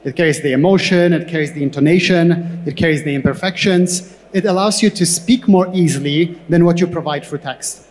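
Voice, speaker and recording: Higher-pitched man speaking in an echoey hall. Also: a little mellow. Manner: halting, repeats words as he explains. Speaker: tech company co-founder, panel guest. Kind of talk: on-stage interview answers. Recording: echoey hall with long reverb.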